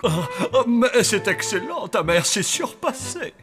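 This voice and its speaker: A woman's voice: on the high side, sing-song, unhurried, music in the background. A woman voicing a melodramatic, nervously polite adult in a French monster cartoon, theatrical and wavering.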